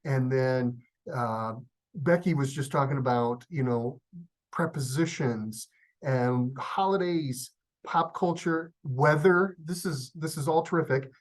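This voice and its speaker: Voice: medium pitch, takes it slowly. A workshop facilitator reflecting on the discussion so far, thoughtful and searching for words.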